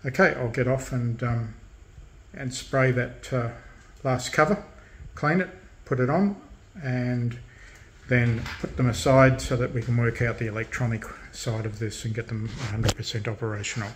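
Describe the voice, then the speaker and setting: Man, halting hands-on demonstrator. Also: mid-range voice. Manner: unscripted, halting, matter-of-fact. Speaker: host of a hobby radio restoration channel. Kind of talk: casual hands-on demonstration talk. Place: indoors at a workbench in a room.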